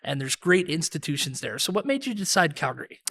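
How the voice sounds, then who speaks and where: Bright-voiced male interview host. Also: on the high side, big pitch swings, fairly quick, vocal fry, husky. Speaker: podcast host. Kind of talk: casual interview.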